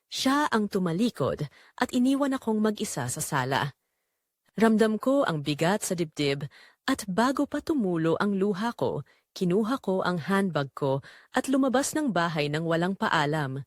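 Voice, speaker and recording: A woman reads a scripted romantic drama in the first person. Her mid-pitched voice moves expressively up and down while keeping a measured, sentimental storytelling delivery. The sound is dry, with no room around it. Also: very animated, no room sound. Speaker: story narrator.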